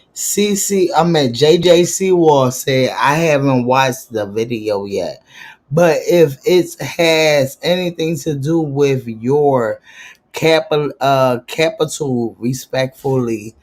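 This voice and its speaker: A man's voice: medium pitch, takes it slowly, darkish tone. A man hosting a gossip commentary vlog, talking in a hyped, slangy, profane way. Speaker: vlog host.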